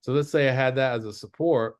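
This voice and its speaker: A man's voice: medium pitch, a little fast, clean tone, dry recording. A man giving an informal lesson as an instructor, explaining step by step and repeating his rules. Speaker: trading instructor. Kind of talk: informal chart-based lesson.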